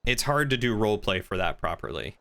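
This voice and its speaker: A man talks casually while livestreaming game roleplay. His voice is crisp and forward, with a bright edge at an ordinary pitch. He rambles along, thinking out loud and reading out chat. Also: big pitch swings, brisk.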